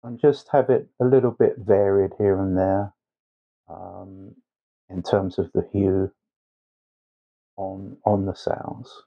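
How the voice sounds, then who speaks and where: Male painting instructor, lowish pitch, slow hesitant step-by-step delivery, slightly muffled recording. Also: fairly even intonation, creaky. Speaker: watercolour tutor. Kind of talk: instructional painting tutorial narration.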